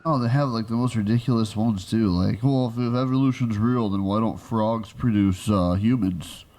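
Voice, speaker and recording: A man's voice: fairly low pitch, moderate pace, a little mellow. A man co-hosting a podcast who asks questions in an offhand way, full of fillers. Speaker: podcast co-host. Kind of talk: casual podcast chat.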